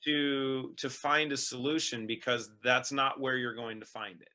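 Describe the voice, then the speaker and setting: Bright-voiced male host explaining earnestly. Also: medium pitch, fairly even intonation, unhurried. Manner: earnest, explanatory, filler-heavy with "we can say". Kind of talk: interview questions and commentary.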